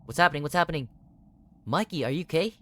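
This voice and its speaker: Anxious young protagonist of a horror game, acted by a man, earnest, pitched up from a normal male voice. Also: expressive, fast, fairly bright tone. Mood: alarmed. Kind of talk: dramatic game character reading.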